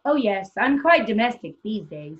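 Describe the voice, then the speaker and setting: A woman's voice: medium pitch, very animated, normal speed, lightly acted. A woman playing an anxious, devoted young wife in a stage play table read, with a genteel, earnest, placating manner. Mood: polite, nervous. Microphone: video call. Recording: dull video-call audio.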